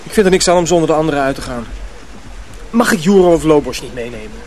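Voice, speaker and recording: A man's voice: fairly high pitch, lively intonation, quick pace, a little mellow, natural voice. A man acting in a radio drama as a guarded, reluctant young mill apprentice, wary and evasive.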